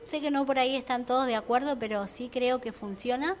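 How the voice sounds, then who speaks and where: Chatty Argentine woman, slightly high voice. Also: a little fast, a little hiss or hum. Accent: Argentine Spanish. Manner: chatty, reflective, many fillers and restarts. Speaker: entrepreneur guest. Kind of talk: casual radio chat.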